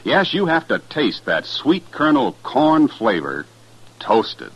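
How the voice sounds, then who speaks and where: An American man announcing and selling in 1950s radio style, emphatic and folksy, with a husky voice. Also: medium pitch, wildly swooping pitch, slow, dull audio.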